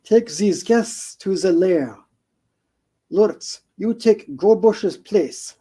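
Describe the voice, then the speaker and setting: A man reading a parody story aloud, voicing a seductive female spider hostess in a purring, drawn-out, theatrical way. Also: sits high, dark tone, slightly rough, voice pushed up, exaggerated voice.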